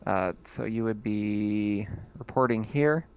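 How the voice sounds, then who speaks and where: A man acting as game master, narrating in a relaxed, chatty way and often laughing. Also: on the low side, slow, smooth, muffled recording. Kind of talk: casual tabletop game narration.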